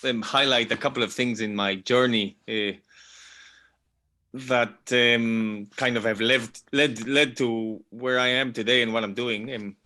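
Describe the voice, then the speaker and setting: A middle-aged man from Venezuela, heard over a video call. His voice is bright and clear. He speaks thoughtfully and circles back with repeated words. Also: medium pitch, steady tone, a little slow. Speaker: panel guest. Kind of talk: online panel talk.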